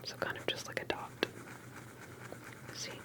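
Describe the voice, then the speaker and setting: A woman speaking in a soft, breathy whisper, gently and carefully asking questions in an ASMR interview roleplay. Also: unhurried, low-quality recording.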